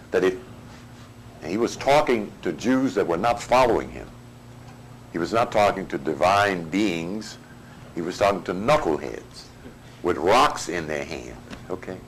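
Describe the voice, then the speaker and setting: Slow, low-voiced man lecturing. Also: a little hiss or hum, rough recording. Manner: deliberate, halting phrasing with colloquial asides. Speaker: spiritual teacher. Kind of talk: informal lecture.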